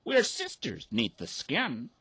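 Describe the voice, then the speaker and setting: A man voicing a kindly, welcoming flock of ducks in a high sing-song falsetto, in a rhymed storybook recitation. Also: wildly swooping pitch, slow, sharp tone, breathy edge, dry recording, heavily acted.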